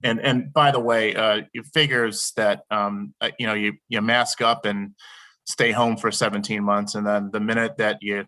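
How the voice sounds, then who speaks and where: A middle-aged man presenting a report at a board meeting over a video call, slightly raspy from a summer cold, hesitant with many ums. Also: fairly low pitch, fairly even intonation, moderate pace, pushed and bright, rough recording. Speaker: government affairs director.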